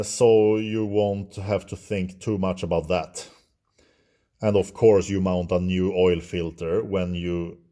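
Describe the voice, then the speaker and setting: A man with a Swedish accent, a non-native English speaker, walking through an engine repair in a halting, think-aloud way. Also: fairly low pitch, darkish tone. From Sweden. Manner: slow, halting, thinking aloud while working. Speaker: DIY mechanic. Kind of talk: hands-on repair tutorial.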